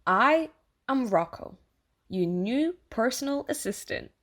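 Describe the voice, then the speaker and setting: A woman's voice: fairly high pitch, expressive, slow pace, a bit bright, heavily acted. A woman playing an eager, awkward and apologetic AI assistant who lives inside someone's head, in an audio drama monologue. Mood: nervously upbeat. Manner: bubbly, awkward, hesitant, apologetic, over-reassuring. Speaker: AI personal assistant. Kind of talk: audio theatre monologue addressed to the listener.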